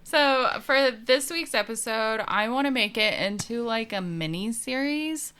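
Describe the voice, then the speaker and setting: A young woman co-hosting a casual podcast, soft-spoken, laughing often and trailing off mid-sentence. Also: fairly high pitch, unhurried, clear, resonant tone. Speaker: podcast co-host. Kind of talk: casual chat.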